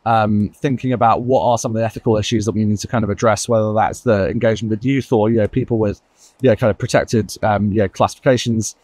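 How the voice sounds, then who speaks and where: A man speaking as a guest on a roundtable panel, talking quickly and emphatically with lots of asides. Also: lowish voice, fairly even intonation, a little mellow, no echo. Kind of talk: panel discussion.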